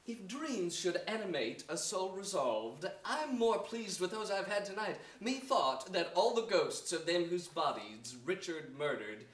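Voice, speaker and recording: A resolute young commander, declaims verse in a stately, rousing way. The voice is high and unhurried, picked up from afar on an echoey theatre stage. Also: male voice, expressive, a bit bright, recorded from a distance. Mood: calm, resolved. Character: noble, resolute young rebel commander rallying his troops. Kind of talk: staged reading of Shakespearean verse, oratorical.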